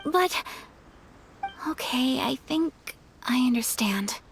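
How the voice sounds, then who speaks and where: High-voiced, gentle young noblewoman. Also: expressive, slow pace, slight background noise, heavily acted. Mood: flustered. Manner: courteous, hesitant, earnest. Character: polite, gentle, flustered young noble lady. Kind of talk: animated game voice acting. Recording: music behind the voice.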